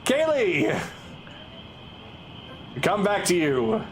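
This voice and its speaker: Male game master, expressive range, casual tabletop narration, light background noise. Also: high voice, drawn-out words.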